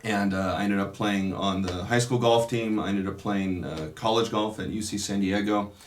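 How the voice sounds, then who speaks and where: This is a man with a notably low voice, speaking in a relaxed interview setting. He talks through his memories thoughtfully, pausing often and circling back to restart his sentences. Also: slight background noise. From California. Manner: reflective, unhurried, many pauses and restarts. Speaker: Dominican priest. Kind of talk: casual interview, telling his life story.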